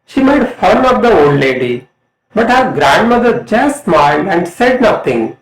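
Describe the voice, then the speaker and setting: A middle-aged man with an Indian accent narrates a lesson to students as a college English lecturer, in an emphatic, measured way. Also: high voice, sing-song. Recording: echoey room sound.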